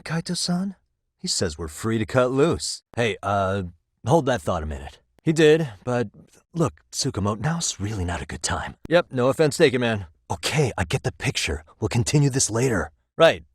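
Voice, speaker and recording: A man's voice: lowish voice, no echo, clean studio sound. An American man voicing a cool, confident, wry private detective in a video game, with a casual, self-assured delivery. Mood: relaxed, friendly. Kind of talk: video game dialogue acting.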